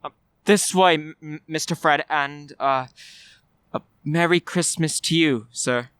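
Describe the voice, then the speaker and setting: An actor playing a kindly, downtrodden clerk in a radio play, hesitant, stammering and deferential. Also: male voice, on the high side, measured pace, a bit bright, smooth, no echo. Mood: nervous, deferential. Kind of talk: old-time radio play acting.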